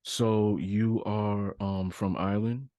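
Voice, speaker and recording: A man's voice: on the low side, fairly even intonation, slow pace, mellow, dry recording, clean studio sound. A man hosting a podcast, interviewing in an informal, conversational way. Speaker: podcast host.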